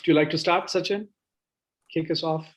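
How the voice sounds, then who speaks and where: A man's voice: fairly high pitch, steady tone, normal speed, slight background noise. A man hosting an online workshop, calling on participants to introduce themselves in a friendly way. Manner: friendly, inviting, speaks in short prompts. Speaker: workshop host. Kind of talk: casual online meeting. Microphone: video call.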